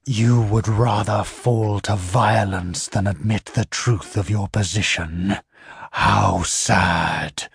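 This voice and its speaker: A man voicing a cold, seductive inhuman creature in a spoken story, low and hushed, at times whispering. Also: fairly even intonation, slow, gravelly, breathy edge, no echo, exaggerated voice. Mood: scornful. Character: sinister seductive infernal creature, calm and cold.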